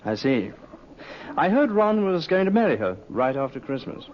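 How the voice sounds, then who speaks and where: An actor playing a cocky, breezy newspaper reporter who probes people in an old-time radio drama. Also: male voice, a little high, sing-song, normal speed. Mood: breezy, teasing. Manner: breezy, probing. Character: cocky, smooth-talking male London newspaper reporter. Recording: muffled, noisy old radio recording.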